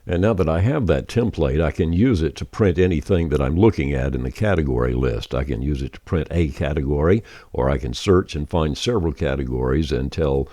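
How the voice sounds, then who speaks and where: Man, very low bass voice, mellow tone, casual tutorial presenter, folksy phrasing. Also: a little fast, few pauses, no room sound. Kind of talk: casual screen-share software walkthrough.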